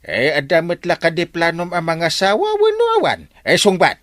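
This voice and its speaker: A man's voice: a little high, huge pitch swings, moderate pace, a bit bright, no echo. A man playing a jovial, meddling village captain uncle in an Ilocano radio drama, with an Ilocano accent and a habit of starting phrases with a hesitant "eh". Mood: coaxing. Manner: hesitant, repeated "eh" before phrases.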